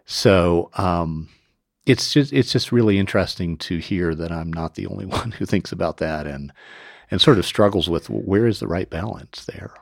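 A man hosting a conversational interview, musing in a measured way and choosing his words carefully. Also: low voice, gravelly, no echo, studio quality. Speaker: podcast host.

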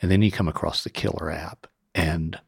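A man hosts a casual podcast in a low, raspy voice with plenty of fry. He tells things in an informal, expressive way, as conversation rather than script. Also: normal speed, no room sound, clean studio sound. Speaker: podcast host interviewing a guest.

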